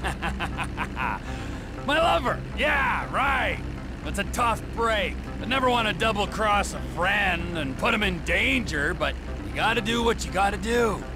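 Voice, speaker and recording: A middle-aged crime boss from a video game, volatile and sarcastic. His voice runs high for a man. He mocks whoever he talks to, over clear background music. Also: lively intonation, measured pace, low-quality recording. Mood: gleeful sarcasm. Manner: sarcastic, mocking. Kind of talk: video game cutscene acting.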